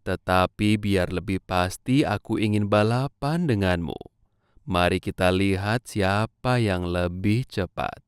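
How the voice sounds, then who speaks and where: A man voicing a calm, self-assured tortoise in a children's cartoon fable, with a measured manner. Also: deep voice, clean tone, dry recording. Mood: calm, confident. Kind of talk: children's animated fable dialogue.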